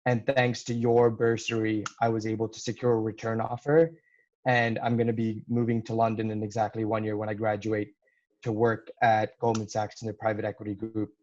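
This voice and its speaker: A man's voice: on the low side, completely flat delivery, a little fast, a little mellow. A young man from Dubai, a university student giving an earnest thank-you speech to donors over a video call. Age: young adult. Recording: dull lo-fi video call audio.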